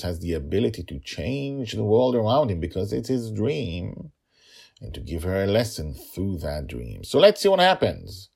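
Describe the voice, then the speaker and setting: A man with a non-native English accent that catches on L's and R's, telling a story in a theatrical, emphatic, husky way as narrator. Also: sits low, warm tone. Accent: non-native English accent, trouble with L's and R's. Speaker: host, writer and narrator of a serial story podcast.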